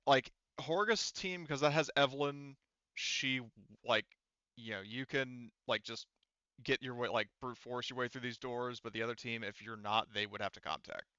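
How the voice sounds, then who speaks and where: A man's voice: medium pitch, steady tone, normal speed, pushed and bright, smooth, no echo, lo-fi sound. A man running a tabletop roleplaying game as game master, explaining casually in a rambling, self-correcting way.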